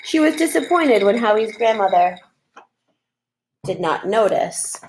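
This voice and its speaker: A woman's voice: mid-range voice, lively intonation, measured pace, slight background noise, low-quality recording. A middle-aged woman reading a children's chapter book aloud as a teacher would, recorded at a computer in a room at home. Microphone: computer mic at desk, webcam framing.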